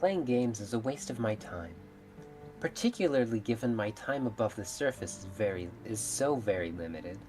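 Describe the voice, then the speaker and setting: A woman's voice: very deep voice, expressive, normal speed, over music. A woman voicing a proud, blunt, aloof mermaid in a fairytale visual novel, with formal, stately phrasing. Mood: haughty. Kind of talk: visual novel character read-aloud.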